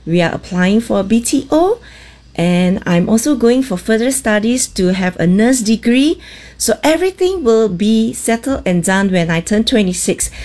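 A woman's voice: fairly high pitch, darkish tone. A young woman, earnestly sharing her plans in a message to a radio show. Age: 20s. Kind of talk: recorded listener message.

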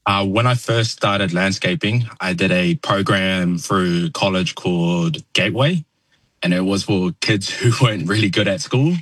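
A young man from New Zealand with a New Zealand accent, a soft-spoken, halting radio interview guest who fills pauses with ums. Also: low-pitched, no echo. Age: young adult. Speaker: guest landscaper.

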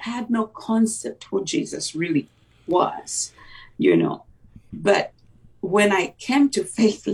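A woman's voice: mid-range voice, gravelly, far from the mic, rough recording. A woman from Uganda, a native Luganda speaker, telling her life story reflectively with many "you know" fillers. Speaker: guest sharing testimony. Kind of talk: personal testimony in conversation.

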